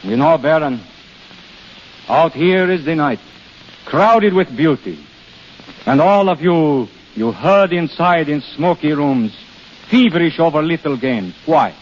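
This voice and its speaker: An actor playing Death disguised as a courtly, wondering prince in halting, deliberate 1930s radio drama style. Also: male voice, fairly high pitch, expressive, slight background noise. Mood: wistful, searching. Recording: muffled 1930s radio broadcast, music behind.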